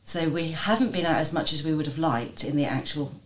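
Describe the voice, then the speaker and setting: A woman's voice: deep voice, brisk, a little hiss or hum. A woman in her fifties from Jersey answering interview questions in a thoughtful way, with restarts. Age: 50s. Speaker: guest.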